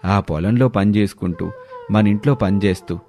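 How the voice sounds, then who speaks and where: A man playing a kind, patient village landlord in a storybook tale, with measured, authoritative delivery. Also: fairly low pitch, dark tone, lightly acted. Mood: explaining. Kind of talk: storybook voice acting.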